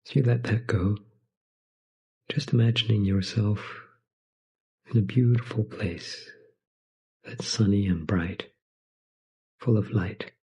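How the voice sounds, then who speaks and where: A man leading a guided meditation in a soft, soothing, breathy voice, speaking gently in short phrases with long pauses between them. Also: lowish voice, fairly even intonation, low-quality recording.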